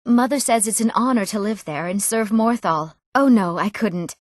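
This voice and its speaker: An actress playing an eager, earnest young townswoman in a fantasy video game, speaking her scripted lines expressively and clearly. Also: mid-range voice, moderate pace, a little mellow, no echo, studio quality, natural voice. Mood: earnest, wistful.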